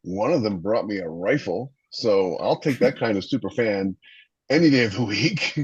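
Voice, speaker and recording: Husky low-voiced man, roomy sound. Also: animated, moderate pace. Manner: emphatic, opinionated, explanatory. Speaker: podcast host and author. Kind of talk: casual writing discussion. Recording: roomy, slightly dull lo-fi microphone.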